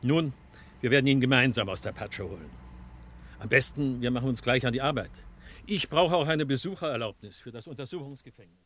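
A man's voice: medium pitch, brisk, fairly bright tone, lightly acted. A man playing a confident, businesslike big-city defence attorney in a radio play, speaking in a matter-of-fact, assured way. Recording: faint noise on old radio recording.

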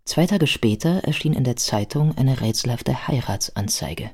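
A man narrating a scripted biographical radio play in a measured, factual manner. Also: mid-range voice, animated, a little mellow, slightly rough, soft background music.

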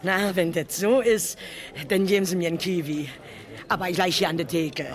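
An actress playing an excitable, nosy working-class woman with a Berlin accent in a 1970s radio crime drama, garrulous and colloquial. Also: lowish voice, moderate pace, lightly acted. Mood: chatty, self-important. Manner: garrulous, colloquial, breathlessly stammering in agitation.

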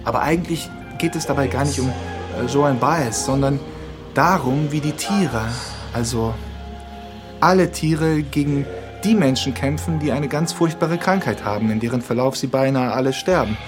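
A man's voice: medium pitch, normal speed, music in the background, close to an everyday voice. A man acting in a naturalistic radio drama as a sentimental, rambling character who coaxes and tells stories to a child. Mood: storytelling. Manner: coaxing, hesitant, storytelling. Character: rambling, sentimental man talking to a little girl.

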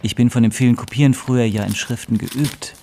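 An actor playing a deferential, astute middle-aged law clerk, polite, precise and discreet, in a radio play. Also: male voice, medium pitch, steady tone, a little fast, mellow, a little hiss or hum, lightly acted. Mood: intent, analytical. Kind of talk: German radio play dialogue.

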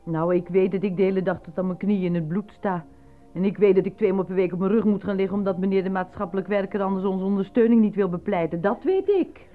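An actress in a Dutch radio play voicing a worn-out working wife who speaks plainly and reproachfully. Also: female voice, medium pitch, quick pace, natural voice. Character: nagging, worn-out working wife in a dystopian city. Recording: dull lo-fi radio recording, faint music.

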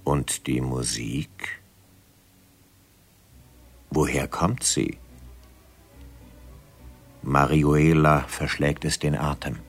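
A man narrating a radio drama as a storyteller, measured and suspenseful. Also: extremely low pitch, little pitch movement, faint music behind, exaggerated voice.

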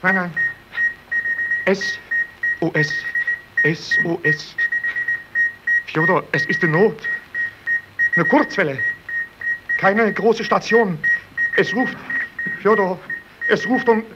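An actor playing an excitable young Russian amateur radio operator in an old German radio play, urgent and halting, repeating words breathlessly. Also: male voice, high-pitched. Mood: urgent, absorbed. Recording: muffled old recording, loud radio static, beeps.

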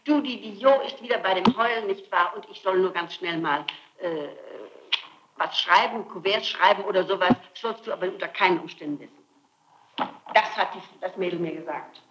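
A woman's voice: on the low side, moderate pace. Muffled old tape of a defensive woman. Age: middle-aged. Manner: insistent, defensive, breaking off and repeating phrases. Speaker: suspect being questioned. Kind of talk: recorded interrogation, answering questions. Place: indoor room, archival interrogation tape. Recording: muffled, noisy 1960s tape in an echoey room.

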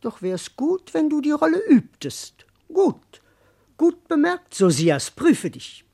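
A cowardly braggart of a servant in classical radio theatre. His delivery swings widely in pitch, from pompous verse declamation to muttered asides, always theatrical. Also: huge pitch swings, takes it slowly, dark tone, exaggerated voice. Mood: self-important. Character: cowardly, boastful male servant rehearsing grand speeches.

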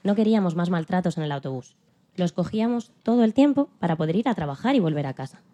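A woman playing a dignified elderly female civil rights activist who recalls her struggle in a calm, earnest, plain-spoken way, as scripted interview storytelling. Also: fairly low pitch, fast talker, natural voice. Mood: earnest recounting. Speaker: podcast guest in a dramatized interview. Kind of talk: scripted first-person storytelling in interview form.

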